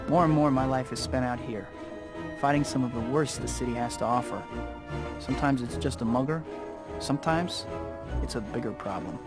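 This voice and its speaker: An actor playing an earnest, wry young American student who is secretly a superhero, in scripted game cutscenes. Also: male voice, medium pitch, lightly acted. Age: young adult. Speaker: hero and narrator. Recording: music behind the voice.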